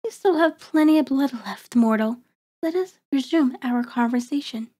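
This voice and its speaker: A woman's voice: high voice, dark tone, natural voice. A woman playing a cold, taunting vampire in an intimate ASMR horror roleplay, speaking with mocking, formal deliberation. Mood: smug, teasing. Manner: slow, deliberate, mocking, haughty formal diction. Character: cold, taunting, predatory female vampire toying with prey.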